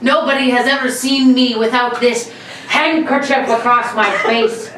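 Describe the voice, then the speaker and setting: Roomy distant recording, woman as cocky gentleman robber, high-pitched, mock-courteous menace, old-time radio play. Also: fairly even intonation, a little slow. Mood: boastful, gleeful. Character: cocky, politely menacing male armed robber. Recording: roomy, distant mics with faint noise.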